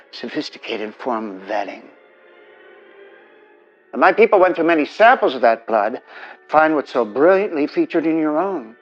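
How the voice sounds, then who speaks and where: An actor playing a domineering, wealthy 1950s presidential candidate, pitching grandly and persuasively over a telephone. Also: male voice. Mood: smug, persuasive. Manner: grandiose, persuasive salesman patter. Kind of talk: audio drama acting, telephone dialogue. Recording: dull telephone-style sound, faint music.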